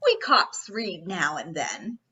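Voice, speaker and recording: A woman's voice: on the low side, big pitch swings, takes it slowly, sharp tone, husky, highs cut off, exaggerated voice. A woman acting a brash, folksy police captain in an old-time radio play, slyly probing with mock-casual questions. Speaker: actor in an amateur radio play.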